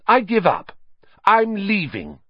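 An exasperated teenage schoolgirl, voiced by a male reader snaps her lines in a high, clipped, indignant tone. She sounds loud and fed up. The recording is dry and a little dull. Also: wildly swooping pitch, fairly quick, slightly rough, no echo, full character voice.

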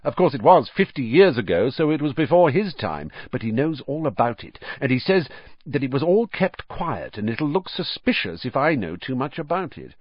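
A man's voice: mid-range voice, sing-song, normal speed, slightly rough, no echo, highs cut off, pitched above the performer's own voice. A man reading an audiobook voices an arrogant, spiteful teenage schoolboy with a scornful drawl. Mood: petulant, gloating. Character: sneering arrogant schoolboy, early teens, spiteful. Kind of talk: audiobook character voice.

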